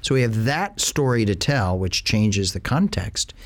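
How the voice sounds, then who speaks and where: A middle-aged man with a fairly low voice hosts the interview. He asks long, rambling questions, filling the pauses with "uh". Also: lively intonation.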